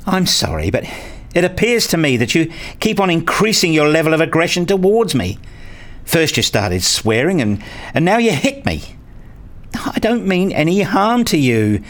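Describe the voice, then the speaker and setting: Casting: male actor as tired, exasperated husband and dad for radio drama, explanatory and placating, very animated pitch. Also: moderate pace. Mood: defensive, tired. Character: weary, exasperated husband and father, narrating his domestic life. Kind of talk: radio play dialogue and first-person narration.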